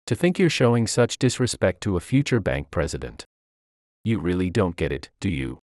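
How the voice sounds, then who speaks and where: A man's voice: deep voice, sing-song, moderate pace, darkish tone, no room sound, studio quality. A man voicing an arrogant, mocking banker's son who boasts and belittles others in a narrated story. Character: arrogant adult male bully, sneering banker's son.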